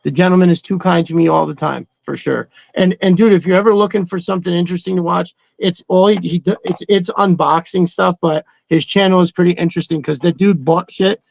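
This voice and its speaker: A man's voice: high voice, steady tone, a little fast, creaky, few pauses, no echo, rough recording. A man hosting a casual livestream, rambling in a slangy, enthusiastic way. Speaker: host of a toy collecting livestream. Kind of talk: casual chat.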